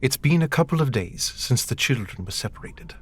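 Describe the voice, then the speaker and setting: A man playing the serious narrator of a scripted adventure audio drama, with a husky voice. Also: medium pitch, lively intonation, a little fast, a bit bright, no room sound. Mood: measured.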